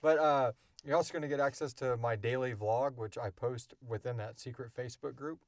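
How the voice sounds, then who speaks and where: A man hosts a podcast in a casual, conversational style. His voice sits at an average pitch and he rambles informally through his answers. The sound is dry, with little room in it. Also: steady tone, brisk, no room sound. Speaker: podcast host and audio drama creator. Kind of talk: casual chat answering listener questions.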